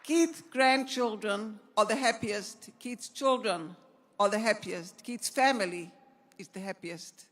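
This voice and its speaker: A woman's voice: medium pitch, measured pace. An older woman giving an emotional speech of thanks, pleading and repeating her words. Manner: pleading, repetitive. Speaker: hostage's wife giving a speech. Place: large banquet hall.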